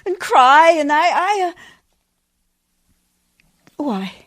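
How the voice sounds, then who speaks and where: A woman's voice: high voice, big pitch swings, extremely slow, a bit bright, no echo, clean studio sound, heavily acted. An actress in a radio play playing a prim, genteel but brave lady, proper and precise, with a fluttery romantic streak. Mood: fluttery, wistful.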